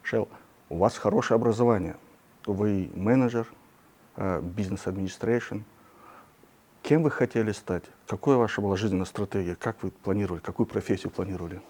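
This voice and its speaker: A man's voice: on the low side, a little fast, a little mellow, husky. A man hosting an interview, speaking in a formal, announcer-like way. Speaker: interview host.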